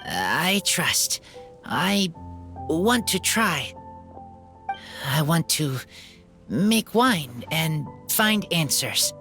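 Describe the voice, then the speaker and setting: A woman voicing an earnest, reserved wild teenage boy in a video game, speaking in short, halting phrases. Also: deep voice, sing-song, fairly bright tone, exaggerated voice. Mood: earnest, determined. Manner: halting, clipped broken sentences. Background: faint music.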